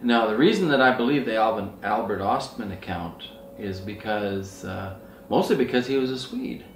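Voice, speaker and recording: Middle-aged man, lowish voice, earnest off-the-cuff storyteller, recorded at a distance in a roomy space. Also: moderate pace, a little hiss or hum. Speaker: outdoor outfitter and Sasquatch researcher. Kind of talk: casual rambling anecdotal talk. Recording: roomy echo, distant mic, faint music.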